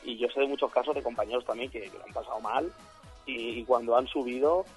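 A man, a DJ and singer chatting as a guest, slightly hoarse, rambling and acting out what others say. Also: mid-range voice, quick pace, faint music behind. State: hoarse after a weekend of shows. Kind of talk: casual interview chat.

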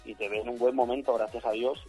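A man, a DJ and singer chatting as a guest, slightly hoarse, rambling and acting out what others say. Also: medium pitch, little pitch movement, fast, a little mellow, faint music behind. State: hoarse after a weekend of shows. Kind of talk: casual interview chat.

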